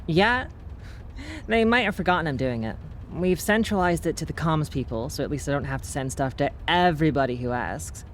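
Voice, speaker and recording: A woman's voice: low voice, expressive, a little fast. Offhand woman researcher over noisy ambience. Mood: wry. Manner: offhand, colloquial, wry. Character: casual, wry researcher at a secret agency. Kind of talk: audio drama acting.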